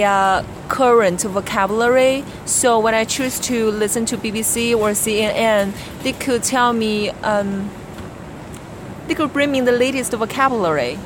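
Young woman, Chinese accent, non-native speaker, hesitant interview answers, steady mid pitch, noisy background. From China. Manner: hesitant, many fillers and restarts. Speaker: interviewee, graduate student. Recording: background noise.

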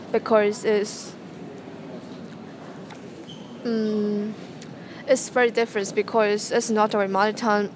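A young woman from a Cantonese-speaking background with a Hong Kong accent, answering interview questions in English with hesitant pauses and restarts. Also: mid-range voice. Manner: hesitant, many restarts and hums. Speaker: interviewee, student. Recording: noticeable background noise.

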